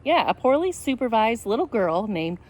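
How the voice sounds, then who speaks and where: A woman reading a children's story aloud in a playful, expressive way, with asides addressed to the young listeners. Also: fairly high pitch, moderate pace. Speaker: storybook reader.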